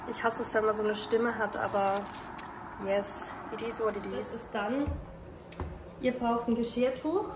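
A young woman in her twenties, a food vlogger chatting casually and relaxed at home. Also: on the high side. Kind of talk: casual vlog chat. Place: home rooms and kitchen, indoors. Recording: echoey room with background noise.

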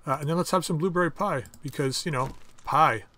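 A man hosting a casual game playthrough, chatting and thinking aloud as he crafts items, with his voice going a bit hoarse. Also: fairly high pitch, fairly quick, bright, forceful tone, slight background noise. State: losing his voice. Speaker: gaming channel host. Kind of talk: casual let's-play commentary.

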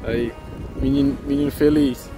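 A man's voice: medium pitch, moderate pace, music in the background, low-quality recording. Chatty Brazilian man on a windy walk. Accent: Brazilian Portuguese. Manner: relaxed, chatty, addresses viewers as "pessoal". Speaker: travel vlogger. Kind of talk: casual vlog narration. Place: outdoors on trails and beach, windy. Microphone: handheld phone camera.

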